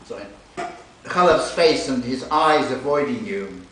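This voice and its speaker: Slow-paced man in an echoey room. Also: mid-range voice, lively intonation, fairly bright tone. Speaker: literary translator reading aloud. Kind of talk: introducing and reading a novel extract at a live reading. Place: indoor library event room. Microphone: distant recorder away from the speaker. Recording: echoey, hissy library room recorded from far off.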